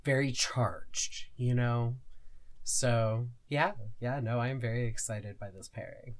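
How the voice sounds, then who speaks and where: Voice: mid-range voice, fairly even intonation, takes it slowly. A podcast co-host in casual discussion, a little hesitant and thinking aloud.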